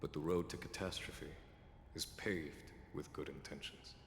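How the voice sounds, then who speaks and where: A man's voice: fairly even intonation, gravelly, slight background noise. A man playing a composed, commanding general in a video game, with solemn delivery and echoing sound. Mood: grave, measured. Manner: measured, solemn exposition, booming battle cries. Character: composed male general warrior, grave, commanding in battle. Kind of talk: scripted video game voice acting. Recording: echoey hall reverb, music underneath.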